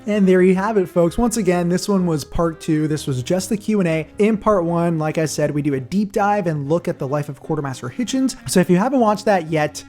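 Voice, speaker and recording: A man's voice: sits high, lively intonation, fairly quick, few pauses, darkish tone, faint music behind. A man hosting an online interview, asking short questions and signing off upbeat. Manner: brief prompts, upbeat sign-off. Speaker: host, interviewer. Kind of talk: YouTube interview and outro.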